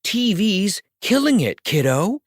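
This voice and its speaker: A man's voice: high-pitched, sing-song, slow pace, slightly rough, dry recording, clean studio sound, voice pushed up, exaggerated voice. A man voicing a pleading, blunt talent agent in dramatised audiobook dialogue. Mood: unrelenting. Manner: cajoling, blunt. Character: pleading, wheedling male showbiz talent agent.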